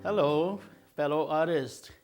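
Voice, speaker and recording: An older man from China, raised in Malaysia, teaching a watercolor technique in a slow, halting way with many filler sounds. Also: a little high, over music. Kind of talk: instructional video talk.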